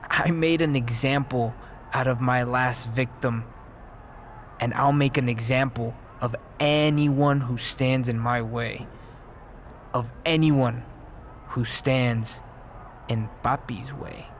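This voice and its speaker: A man's voice: medium pitch, steady tone, slow pace, a little hiss or hum, natural voice. A man playing a smug young villain wrestler in a scripted video game cutscene. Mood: menacing, deliberate.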